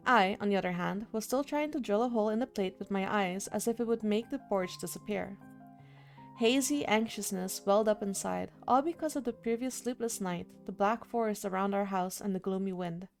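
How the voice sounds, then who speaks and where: Female let's-player, creaky voice, a touch under the weather, casual commentary and read-aloud narration over game music. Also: medium pitch, darkish tone. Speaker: streamer playing a horror visual novel.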